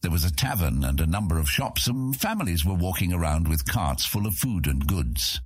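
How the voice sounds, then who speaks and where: A man's voice: deep voice, expressive, normal speed, husky, no echo, studio quality. A man reading scripted fantasy narration as an audiobook narrator.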